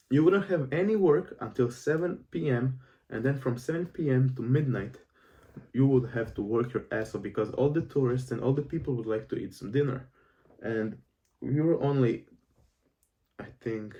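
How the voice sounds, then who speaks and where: A nineteen-year-old man with a Croatian accent, speaking English as a second language. His tone is mellow and relaxed, and he rambles earnestly with restarts and fillers. He sits a little away from the mic. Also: mid-range voice, far from the mic, lo-fi sound. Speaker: self-improvement vlogger. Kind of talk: casual monologue to camera.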